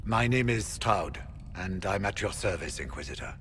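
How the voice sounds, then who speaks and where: Casting: duty-bound veteran warrior for fantasy game, solemn, formal delivery, lowish pitch. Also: male voice, animated, normal speed, fairly bright tone, slight background noise. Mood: grave. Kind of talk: dramatic fantasy game acting.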